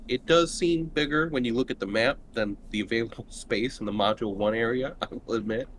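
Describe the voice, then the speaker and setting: A man's voice: mid-range voice, a little fast. A man playing a tabletop game, chatting casually in laid-back, offhand remarks. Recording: clearly noisy tabletop session recording.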